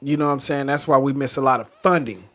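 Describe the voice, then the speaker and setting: A man with an American accent and an average-pitched voice talks in loose podcast style. He is insistent and slangy, circling back over his phrases to drive the point home. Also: fast talker, fairly bright tone. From Oklahoma, United States. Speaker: podcast co-host. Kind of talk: casual unfiltered chat.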